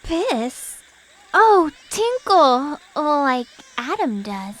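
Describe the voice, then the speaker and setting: Audio drama goddess: very high girlish voice, tipsy, playful, drawn-out slow delivery. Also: big pitch swings, heavily acted, rough recording. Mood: playful, drunk. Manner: drunken drawl with giggles. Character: tipsy, giggly, childishly playful goddess in disguise.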